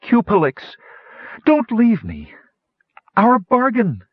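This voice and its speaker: A man voicing a fierce, resolute warrior woman in a storybook, calling out forcefully and urgently. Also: sits high, wildly swooping pitch, takes it slowly, husky, no room sound, narrow, tinny audio, pitched above the performer's own voice, heavily acted. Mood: desperate.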